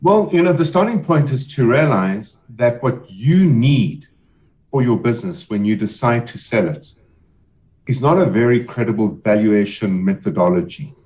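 A man advising on selling a business, speaking in a measured, explanatory way with emphatic repetition. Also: mid-range voice, lively intonation, darkish tone, highs cut off. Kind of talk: podcast interview answers.

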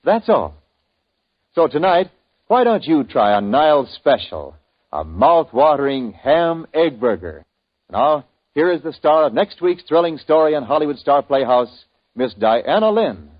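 A man hosting a 1950s radio show, with a folksy, husky announcer voice. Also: a little high, measured pace. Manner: folksy, lively announcer delivery. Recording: dull old radio broadcast.

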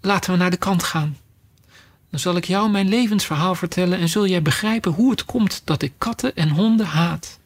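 A shrill, touchy little mouse, put on by a male storyteller, squeaking high and indignant in a storybook reading. The voice trembles and bristles, with a gravelly edge underneath. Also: moderate pace. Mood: trembling. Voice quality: shrill, excited.

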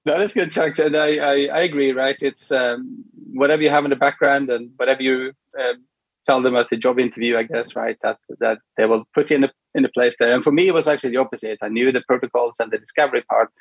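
A middle-aged man from Sweden with a Swedish accent, a podcast guest speaking in a relaxed, hesitant way, full of ums and tag questions. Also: medium pitch, quick pace, husky, no echo, lo-fi sound. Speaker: guest, product manager. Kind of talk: casual interview chat.

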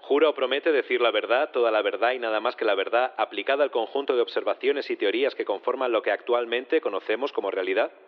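An actor in an audio drama playing a formal, procedural judge with a deadpan manner and a radio-like filter. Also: male voice, mid-range voice, fast talker, hardly any pauses. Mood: solemn. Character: formal, procedural robot-trial judge, male. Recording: thin phone-like narrow band.

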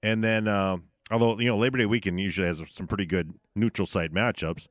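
A man's voice: fairly low pitch, fairly even intonation, fairly quick, dry recording, clean studio sound. A man co-hosting a radio sports show, talking casually and conversationally, often checking in with short tag questions. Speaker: sports radio host in studio. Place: radio studio.

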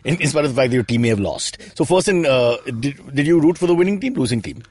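Husky, fast-joking man host. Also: medium pitch, animated, a little mellow. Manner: jokey, teasing, quick banter. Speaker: podcast host. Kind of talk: casual cricket chat.

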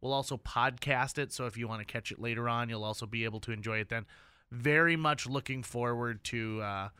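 A clear, bright-voiced American man hosting a casual radio discussion. He sits close to a studio mic, with a dry, clean sound and an ordinary mid-range pitch. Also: a little fast, no echo. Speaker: radio host.

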